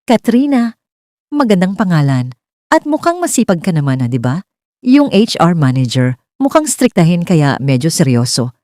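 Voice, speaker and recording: A woman's voice: fairly low pitch, big pitch swings, moderate pace, warm tone, no room sound, studio quality. A woman voicing a joking, easygoing, flirtatious male store supervisor in a romance audio story, with a breezy, teasing manner. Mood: friendly, teasing.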